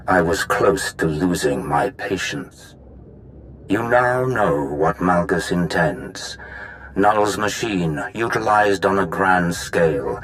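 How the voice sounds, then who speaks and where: A man voicing a menacing, calculating Sith lord in a video game, delivering a measured, portentous monologue on a recorded message. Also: low-pitched, slight background noise, low-quality recording. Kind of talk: theatrical villain monologue.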